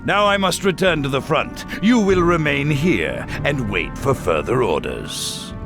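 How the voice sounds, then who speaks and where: A fantasy game army commander, stern and full of contempt. His delivery swings widely in pitch while keeping a slow, deliberate pace. Prominent music plays behind him. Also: sing-song, vocal fry. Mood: disgusted, stern. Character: haughty human military commander, bigoted and harsh. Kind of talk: dramatic fantasy video game acting.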